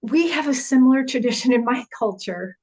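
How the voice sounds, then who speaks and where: A woman's voice: high-pitched, animated, brisk, darkish tone. A woman playing a trusting office clerk in a horror script read, in an everyday voice. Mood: hesitant.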